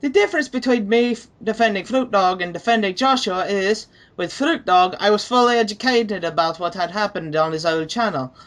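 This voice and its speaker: A woman giving a dramatic reading of written messages in formal, measured phrasing. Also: medium pitch. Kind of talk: dramatic reading of written messages amid casual group chat.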